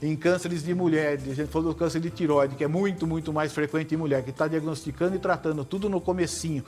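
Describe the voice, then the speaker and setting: A middle-aged Brazilian man, a surgeon guest, explaining in a measured way and often tagging his points with "né?". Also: on the high side, fairly even intonation, darkish tone, faint music behind. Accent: Brazilian Portuguese. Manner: measured, tag questions like "né?". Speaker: head and neck surgeon guest. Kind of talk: explanatory interview answers.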